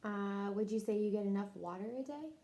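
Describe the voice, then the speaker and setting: A woman's voice: mid-range voice, a little fast, mellow. A woman interviewing someone for a health history, working methodically through prepared questions and briefly acknowledging answers. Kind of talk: scripted clinical questionnaire interview.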